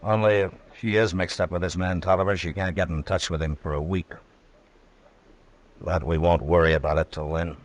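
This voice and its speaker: Old west lawman, real deep n husky, steady, few words, old radio show. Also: normal speed. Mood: grimly resolved. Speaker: radio drama actor. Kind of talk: old-time radio western acting. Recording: vintage radio drama recording.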